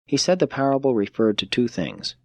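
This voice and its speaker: A man reading a religious audiobook as a scripted narration, in a measured, formal and instructive manner. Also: medium pitch, expressive, vocal fry, dry recording.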